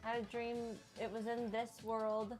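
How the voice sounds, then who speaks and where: A soft-spoken woman chatting casually over a video game, giggling often and stumbling over her words. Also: fairly high pitch, little pitch movement, normal speed, over music. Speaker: co-host of a gameplay show. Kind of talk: casual chat over gameplay.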